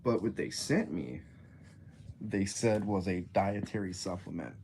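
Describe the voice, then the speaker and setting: A young man talks on a home livestream in a very low voice, his nose stuffed up from a cold. He rambles and jokes loosely, drifting off topic. Faint clicks sound behind him. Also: a little slow, darkish tone, a little hiss or hum. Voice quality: nasal, stuffy. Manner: rambling, joking, easily sidetracked. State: congested from a cold. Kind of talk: casual livestream chat.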